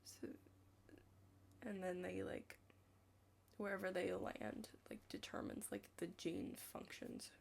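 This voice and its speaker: A young woman chatting casually in an ASMR study roleplay, rambling and hesitant, hushed and slipping into whispers. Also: mid-range voice, animated, slow, vocal fry, long pauses. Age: young adult. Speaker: ASMR creator playing a study partner.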